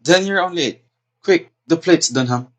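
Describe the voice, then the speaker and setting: A man's voice: a little high, a little slow, a bit bright. A man playing the anxious, well-meaning daughter of a society hostess in a stage comedy, earnest and pleading. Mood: helpful, hurried. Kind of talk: theatrical stage comedy acting.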